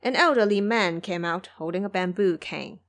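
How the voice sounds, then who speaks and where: A woman narrating a scripted audio drama as an expressive storyteller. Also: mid-range voice, moderate pace.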